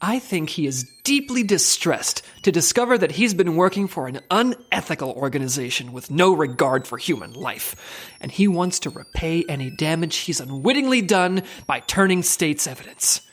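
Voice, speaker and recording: An actor playing an officious, easily flustered spy team leader in a comic audio drama, earnest and exasperated. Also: male voice, fairly high pitch, lively intonation, normal speed.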